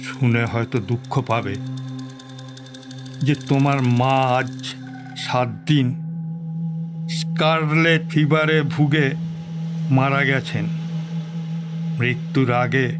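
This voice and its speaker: A man playing a gentle, sorrowful older father reading his letter in an audio drama, measured and solemn with pauses between phrases. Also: mid-range voice, fairly even intonation, natural voice. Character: sorrowful, gentle elderly father writing to son. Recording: studio voice under loud music.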